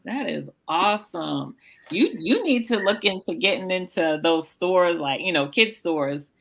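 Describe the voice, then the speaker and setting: Female podcast interviewer, slightly low and gravelly, casual, enthusiastic and encouraging. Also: lively intonation, unhurried, a bit bright.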